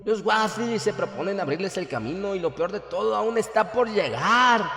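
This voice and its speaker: A man's voice: high-pitched, lively intonation, moderate pace, fairly bright tone, roomy sound, in a large hall, voice pushed up. A man voicing a delirious, desperate old male scholar in his seventies, frantic and pleading, in a horror radio play. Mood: frantic warning.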